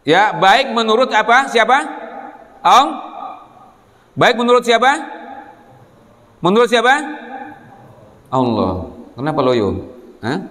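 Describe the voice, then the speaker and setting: Guy from indonesia giving an islamic sermon in a big echoey hall, slightly high voice, teachery. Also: very animated, slow pace, long pauses, bright, forceful tone. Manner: didactic, asks rhetorical questions and prompts listeners to answer. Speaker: Islamic boarding school preacher. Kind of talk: sermon to students. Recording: echoey hall with long reverb.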